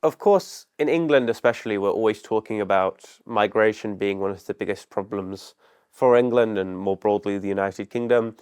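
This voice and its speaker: A man's voice: lowish voice, normal speed, a little mellow, smooth. A man interviewing guests about politics, putting long questions to them.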